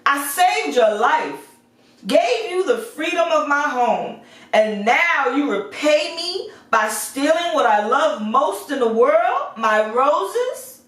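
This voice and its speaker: A woman's voice: high voice, big pitch swings, takes it slowly, fairly bright tone, voice pushed up, heavily acted. A woman voicing a terrifying, angry male beast in a storybook, commanding and menacing, in an echoey recording. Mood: furious. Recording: echoey room, far from the mic.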